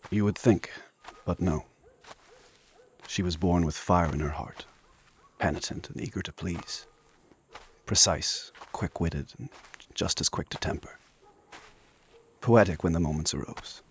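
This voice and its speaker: A man playing an ancient, proud and solemn warrior in a dark fantasy audio drama, speaking formally and gravely. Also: a little slow, pauses often, heavily acted. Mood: wistful, grave. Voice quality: husky, very deep.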